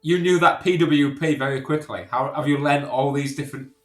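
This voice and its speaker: A man's voice: a little high, a little fast, a bit bright. A man chatting casually as a podcast guest, with a teasing, sardonic manner. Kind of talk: casual comedic chat. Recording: echoey room sound.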